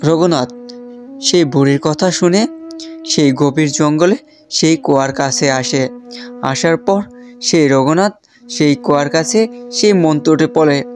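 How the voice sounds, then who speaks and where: A man's voice: fairly high pitch, unhurried, long pauses, soft background music. A man narrating a scripted children's story.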